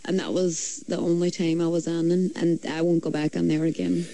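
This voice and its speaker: A woman's voice: fairly low pitch, level tone, fairly quick, gravelly, warm tone, low-quality recording. A woman with a Derry accent, chatting as a singer guest in a radio studio, rambling along with lots of fillers and restarts. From Derry, Northern Ireland. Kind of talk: casual radio interview chat.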